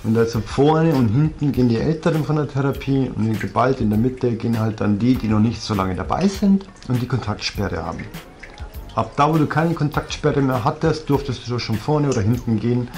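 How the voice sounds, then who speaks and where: A man's voice: mid-range voice, brisk, darkish tone, soft background music. A man in his forties with a Bavarian accent, telling a personal story bluntly and reflectively, with many fillers, filmed at close range on a sofa at home. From southern Germany. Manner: blunt, rambling, frequent fillers. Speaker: vlogger. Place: living room, seated on a leather sofa. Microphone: fixed camera at close range.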